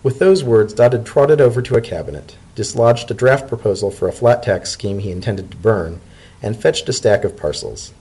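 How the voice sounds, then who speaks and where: A man's voice: lowish voice, normal speed, mellow, husky, rough recording. A man narrating a story as a dramatic reading.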